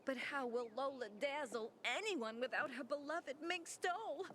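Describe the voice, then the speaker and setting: A vain theatrical diva. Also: female voice, high voice, expressive, moderate pace, fairly bright tone, a little hiss or hum. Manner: theatrical, refers to herself in third person. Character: flamboyant vain diva singer, dramatic. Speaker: singer. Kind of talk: video game dialogue.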